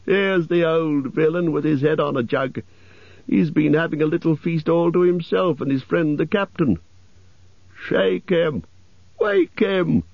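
A man voicing merry, impatient elves in an audiobook, exclaiming loudly and teasingly. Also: fairly high pitch, normal speed, dry recording, lo-fi sound, pitched above the performer's own voice. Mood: mocking, excited. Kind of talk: audiobook character voices.

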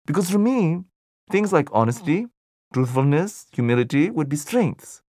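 A man playing an older male Buddhist teacher, jovial, humble, lively swinging pitch, dry close sound. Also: moderate pace, no room sound. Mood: puzzled. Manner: questioning, searching, thoughtful delivery. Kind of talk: audiobook dialogue reading.

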